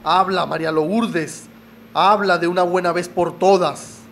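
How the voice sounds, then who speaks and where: Guy playing threatening guilty killer in a radio soap, highish voice, noisy background. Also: steady tone, measured pace. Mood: accusing, agitated. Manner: threatening, curt. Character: menacing, guilt-ridden male killer in a soap opera. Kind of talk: radio soap opera acting.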